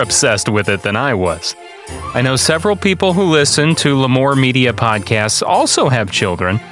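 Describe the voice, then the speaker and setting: A man, a podcast host, speaking in an expressive storybook narration style. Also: medium pitch. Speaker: podcast host reading a children's book aloud.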